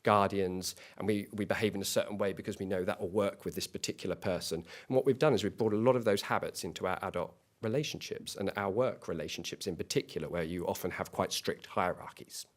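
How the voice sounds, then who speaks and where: Earnest low-voiced man pitching a business. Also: fast talker, hardly any pauses. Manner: earnest, with frequent restarts and repeated words. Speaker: company co-founder pitching. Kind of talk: competition pitch. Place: event hall.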